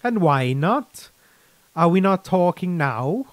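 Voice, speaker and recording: Man acting defensive ex-soldier, folksy, teasing, raised pitch, storybook character, dry recording. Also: big pitch swings, takes it slowly, no echo, full character voice. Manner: folksy, teasing, calls listener lad and boyo. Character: brash, defensive male ex-guardsman turned defector, cheerfully chiding. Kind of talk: short story character reading.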